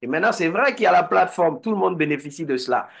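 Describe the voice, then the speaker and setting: A man's voice: fairly high pitch, expressive, rapid-fire, gravelly. A man giving a motivational talk to an online meeting, exhorting and repeating phrases. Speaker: network marketing speaker.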